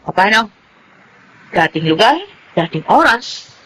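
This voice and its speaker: A woman's voice: medium pitch, lively intonation, measured pace, fairly bright tone, a little hiss or hum, poor audio. A woman playing a brash, mercenary mistress, sassy and impatient, in a melodrama. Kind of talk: Tagalog radio-style melodrama acting.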